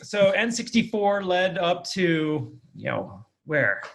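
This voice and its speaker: A man with a voice a little higher than most hosts a podcast interview. He talks casually and with enthusiasm, as an interviewer putting questions to a guest. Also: big pitch swings, slow, gravelly, fairly bright tone.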